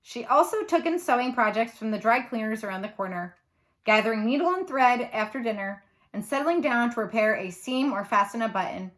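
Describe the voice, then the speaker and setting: Female read-aloud narrator, teacherly and expressive, slightly high pitch, children's fiction. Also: fairly quick.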